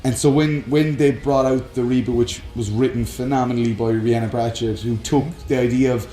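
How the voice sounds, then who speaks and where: A man's voice: mid-range voice, steady tone, brisk, dark tone, reverberant, soft background music, low-quality recording. A man chatting casually and enthusiastically as a podcast host, opinionated and free with swearing. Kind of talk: casual chat about games and films.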